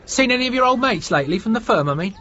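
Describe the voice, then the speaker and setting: A man's voice: very high-pitched, expressive, racing, sharp tone, heavily acted. An actor playing a blunt, accusing young man with a southern English working-class accent, in a radio drama. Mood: accusing, resentful. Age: young adult. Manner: needling, confrontational. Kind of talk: British radio drama acting. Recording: muffled, noisy vintage radio recording.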